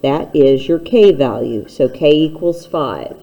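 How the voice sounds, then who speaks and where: A woman's voice: low-pitched, animated, measured pace, warm tone. A woman teacher giving a step-by-step instructional math lesson, speaking plainly and patiently straight to the learner.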